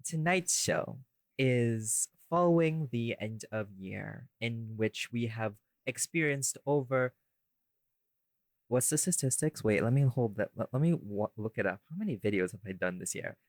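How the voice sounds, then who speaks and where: A man's voice: medium pitch, expressive, a little slow, no room sound. A man hosting a casual podcast, bantering and rambling as he corrects himself and slips into playful mock-formal introductions. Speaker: podcast host.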